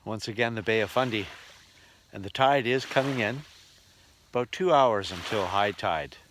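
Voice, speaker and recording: A man's voice: fairly low pitch, moderate pace, a bit bright, slight background noise. A man narrating a casual travel vlog as a touring musician.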